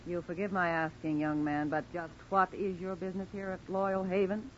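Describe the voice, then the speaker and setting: An actress playing a prim, hesitant elderly housekeeper, formal and disapproving, in an old-time radio drama. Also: female voice, fairly low pitch, little pitch movement, normal speed, natural voice. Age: older. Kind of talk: 1940s-50s radio acting. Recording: noisy, muffled old radio recording.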